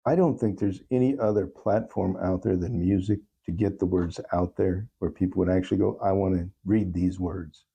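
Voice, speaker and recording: A man's voice: low voice, fairly even intonation, normal speed, gravelly, few pauses, warm tone, a little hiss or hum. A man speaking earnestly as an interview guest, reflecting on his songwriting and repeating phrases for emphasis. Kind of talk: reflective interview talk about own songwriting.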